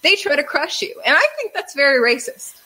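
A woman hosts a casual chat in a bright, forceful voice pitched a little high. She talks in an animated way and laughs easily. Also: poor audio. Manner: lively, animated, quick to laugh. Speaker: podcast host.

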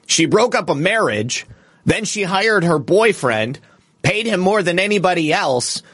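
A man's voice: sits high, animated, unhurried, a bit bright, breathy edge, dry recording, studio quality. A man hosting a political livestream, giving opinionated news commentary with casual asides in a scornful, indignant, crudely humorous manner.

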